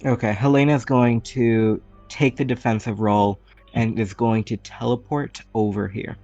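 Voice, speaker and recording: Tabletop player, male, medium register, casual and questioning, a little dull-sounding over soft music. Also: mid-range voice, fairly even intonation, unhurried. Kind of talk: casual game talk, asking rules questions. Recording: slightly muffled, music underneath. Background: background music.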